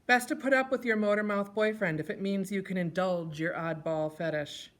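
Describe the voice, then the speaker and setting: A woman's voice: medium pitch, steady tone, normal speed. Female stage reader for comic correspondence, theatrical delivery, mid-range voice. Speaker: performer reading letters aloud. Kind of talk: dramatic comic letter reading on stage.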